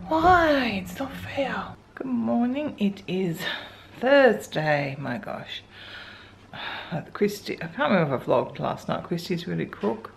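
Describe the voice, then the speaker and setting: An Australian woman in her forties chatting through a daily home vlog, rambling with soft ums and sounding a little congested. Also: medium pitch, expressive, a little slow, creaky, slight background noise. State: congested, getting a cold. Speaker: vlogger. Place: living room couch at home. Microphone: handheld camera held close.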